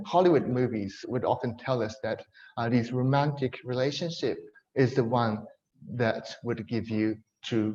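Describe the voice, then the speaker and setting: A man's voice: mid-range voice, darkish tone, lo-fi sound. A man from Japan giving a friendly, joking talk to a church gathering, with a Japanese accent mixed with American and British tones and frequent fillers. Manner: friendly, with many fillers and self-deprecating jokes. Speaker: speaker at a church event.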